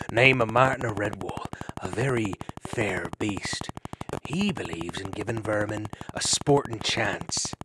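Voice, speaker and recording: A man voicing a gruff, scarred old male otter warrior who growls menacingly. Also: lowish voice, pushed and bright, a little hiss or hum, heavily acted, rough recording.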